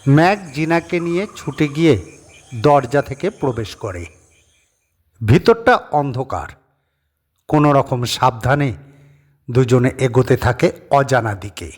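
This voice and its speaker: A man narrating a scripted horror story as its reader, measured, pausing between short phrases. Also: medium pitch.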